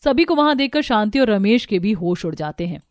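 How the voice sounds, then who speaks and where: A woman's voice: mid-range voice, sing-song, fairly quick, dry recording, studio quality. A woman reading scripted narration for a family cartoon story.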